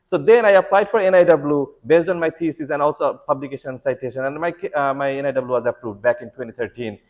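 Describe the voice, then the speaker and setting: A man's voice: on the high side, quick pace, a little mellow, muffled recording. Earnest man giving legal advice. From Bangladesh. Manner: earnest, repetitive, many fillers and restarts. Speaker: immigration lawyer. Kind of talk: advice talk to students.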